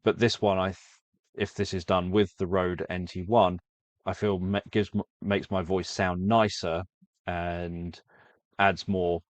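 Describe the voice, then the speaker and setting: A man's voice: sits low, level tone, unhurried, no room sound. A man who makes sleep stories, talking casually to viewers and rambling through his explanations. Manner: rambling, self-correcting explanation. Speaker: sleep story creator.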